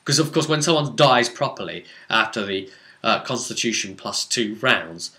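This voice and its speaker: A man speaking as a game master, casually recounting a tabletop role-playing session in an animated, rambling way, stressing points and then trailing off. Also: fairly low pitch, moderate pace.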